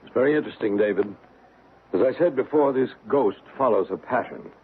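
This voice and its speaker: A man playing a calm, authoritative ghost investigator in a radio drama, measured and formal in his explanations. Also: mid-range voice, slightly rough. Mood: composed. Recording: tinny old radio broadcast.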